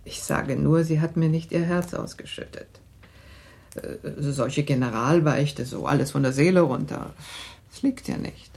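An actress playing a dry, sharp-tongued middle-aged wife and mother in a radio drama, cool and clipped in manner. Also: low-pitched, measured pace, creaky, slightly rough, full character voice. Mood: measured, reflective. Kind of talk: German radio drama acting.

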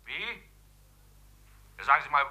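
A brusque police commissioner in a 1950s radio drama. The voice is very sharp and forceful, pitched fairly high. He sounds curt, sceptical and easily exasperated. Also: sing-song, drawn-out words, breathy edge, lo-fi sound, exaggerated voice. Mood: suspicious. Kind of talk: 1950s German radio play acting.

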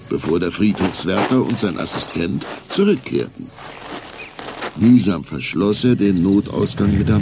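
A man's voice: low-pitched, creaky. A man narrating a scripted children's story as the narrator of a radio play.

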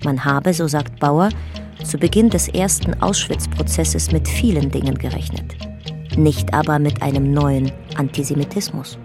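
A woman's voice: deep voice, steady tone, moderate pace, music in the background. A woman narrating a documentary-style radio drama in a factual, composed manner.

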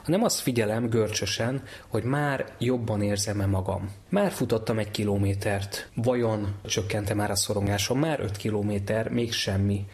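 A man giving a measured, structured explanatory talk in the manner of a teaching expert. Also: lowish voice, steady tone, a little mellow.

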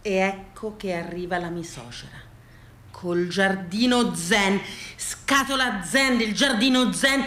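A woman's voice: on the high side, pushed and bright. A woman delivers a theatrical comic monologue in a satirical, emphatic way, stringing words into incantatory, repeated lists. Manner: satirical, exclamatory. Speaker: solo stage actress. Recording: roomy, echoing stage sound.

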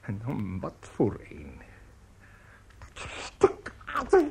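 An actor playing a bitter, self-pitying, tipsy middle-aged conductor in a radio play, husky and halting as he grumbles. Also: lowish voice, huge pitch swings, heavily acted. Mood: bitter, ranting. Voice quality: husky, rough. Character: bitter, drunken, self-pitying provincial conductor nearing fifty.

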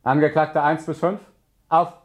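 An actor playing a stern, officious judge in a radio play, commanding and declaiming in formulas. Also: male voice, fairly high pitch, little pitch movement, measured pace, lightly acted. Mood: strident, condemning. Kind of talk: avant-garde radio play acting.

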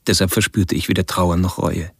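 An actor playing a cerebral, cold-blooded killer confiding detached, measured philosophical thoughts in a radio play. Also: male voice, deep voice, steady tone, fairly bright tone, husky, full character voice. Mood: coldly reflective. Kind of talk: first-person monologue in a radio play. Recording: music behind the voice.

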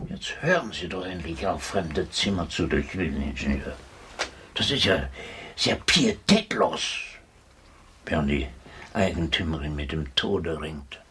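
Indignant proper gentleman in radio drama, low voice, very animated, faint noise. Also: a little slow. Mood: indignant, reproachful. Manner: reproachful, proper, emphatic. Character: indignant, sceptical gentleman scolding an amateur sleuth. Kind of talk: radio play acting.

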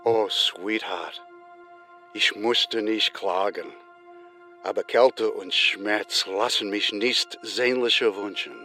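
An actor playing a wounded, sentimental teddy bear who pleads and fawns in a German radio play. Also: male voice, fairly low pitch, fairly even intonation, bright, forceful tone, faint music behind. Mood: languishing. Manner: slow, pleading, mixing in English endearments.

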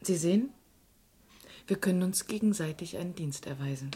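An actress playing a composed, probing woman journalist in a radio crime drama, speaking in a deliberate, confiding way. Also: on the low side, mellow, breathy edge. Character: poised, probing Italian woman journalist living in exile.

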